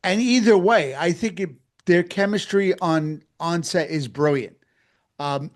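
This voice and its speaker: A man co-hosting a casual podcast, talking with eager enthusiasm and emphatic repetition. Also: a little high. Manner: enthusiastic, emphatic, repeats himself. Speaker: podcast co-host. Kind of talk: casual chat.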